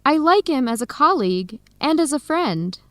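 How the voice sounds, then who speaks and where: A woman's voice: high voice, big pitch swings, normal speed, a bit bright, no echo, clean studio sound. A woman acting a young, playfully teasing friend in a scripted radio drama. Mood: playful, affectionate.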